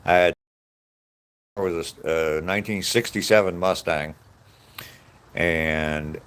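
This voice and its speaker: Deep-voiced guy in his 60s from the states, chatting with his chat on a walk, laid-back and chuckly. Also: clean tone. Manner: reminiscing, easygoing, chuckles often, trails off with "so". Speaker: vlogger answering live chat. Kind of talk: casual rambling chat. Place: outdoors on a walk.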